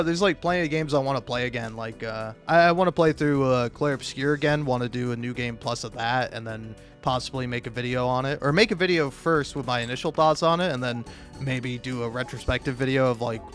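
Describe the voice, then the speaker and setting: A man giving casual, rambling game commentary in a halting, jokey way, often repeating words and restarting, swearing freely and putting himself down. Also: on the high side, fairly bright tone. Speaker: video game critic and YouTuber. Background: music.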